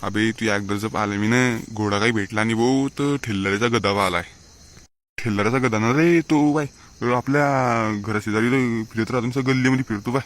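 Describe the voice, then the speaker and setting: A man's voice: lowish voice, a little fast, lightly acted. A man playing a scolding, stingy, unschooled village father in a comic cartoon, with a rural Maharashtrian accent. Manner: blustering, scolding.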